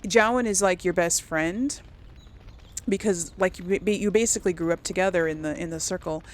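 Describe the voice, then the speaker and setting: A woman's voice: fairly low pitch, moderate pace. A woman giving casual video game commentary, conversational and musing as she thinks aloud and talks herself through choices. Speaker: let's play host.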